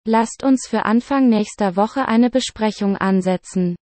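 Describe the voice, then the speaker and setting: A woman reading German words and example sentences slowly and clearly for a vocabulary lesson. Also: medium pitch, darkish tone, no room sound. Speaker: German word reader.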